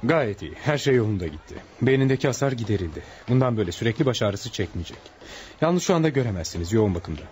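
A man's voice: fairly low pitch, sing-song, fast talker, a little mellow, slight background noise. An actor playing a courteous, composed male brain surgeon in a radio drama. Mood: calm, professional.

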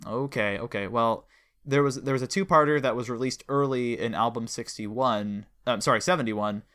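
A man with a very bright, forward voice at a medium pitch hosts a casual interview between fans. He talks eagerly and at length, often backing up to rephrase his questions. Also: moderate pace. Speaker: host interviewing a guest; audio drama producer and writer. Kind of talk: casual enthusiastic fan conversation.

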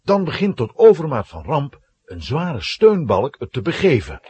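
A man's voice: on the high side, huge pitch swings, moderate pace, darkish tone, breathy edge. A man narrating a scripted children's audio play in a dramatic storytelling manner.

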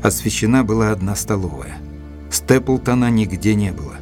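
An actor playing a gentlemanly detective's companion who recounts the case in a measured, literary audio-drama narration. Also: male voice, on the low side, lightly acted. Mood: tense storytelling. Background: music.

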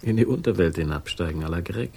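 A man's voice: low-pitched, expressive, fast talker, vocal fry, a little mellow, slightly rough, exaggerated voice. An actor playing a wry, world-weary male private investigator, narrating dryly in a 1960s German radio crime drama. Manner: dry, wry, ironic. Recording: music behind the voice. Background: music.

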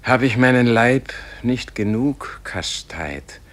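A man's voice: medium pitch, lively intonation, takes it slowly, fairly bright tone. An actor playing a frail, anguished dying holy man in a poetic radio play, speaking in a solemn, declamatory lament. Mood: anguished prayer.

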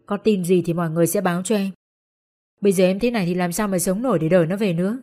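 A woman voicing a calm, firm young male doctor who explains things levelly. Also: fairly low pitch, rapid-fire, natural voice.

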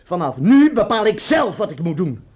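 A very high, excitable young male voice in a radio drama. He is a resentful, rebellious son, sarcastic and defiant, with pitch that swings widely. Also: moderate pace, husky, exaggerated voice. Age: young adult. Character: rebellious, resentful student son in his early twenties. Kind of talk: Dutch radio play acting.

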